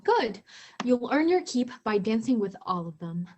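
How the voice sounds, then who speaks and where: A woman's voice: a little high, sing-song, measured pace, a little mellow, dull audio, slight background noise. A woman playing a bossy, jolly married hostess and mother in an amateur radio play. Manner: commanding, matchmaking.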